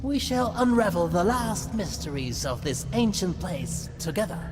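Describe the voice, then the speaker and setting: High voiced megalomaniac game villain, mocking and theatrical, loud music behind. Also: male voice, sing-song, normal speed. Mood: ominous. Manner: grandiose, taunting, formal. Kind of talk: video game cutscene acting.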